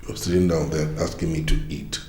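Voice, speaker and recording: A man's voice: extremely low pitch, level tone, brisk, warm tone, reverberant, slight background noise. An actor playing a stern, devout middle-aged father who lectures his family.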